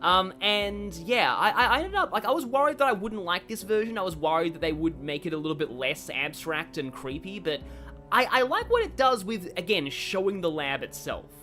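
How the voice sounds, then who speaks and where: A woman streamer giving casual live game commentary, chatty and excitable, stammering as she goes. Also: on the low side, moderate pace, soft background music.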